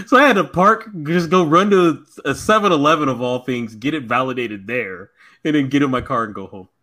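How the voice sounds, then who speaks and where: A man with a middle-pitched voice co-hosts a casual podcast. He tells stories in an animated way, full of slang and occasional swearing. It sounds like loose fan chat among friends. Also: normal speed. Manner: animated storytelling, slangy, profane. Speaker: podcast co-host.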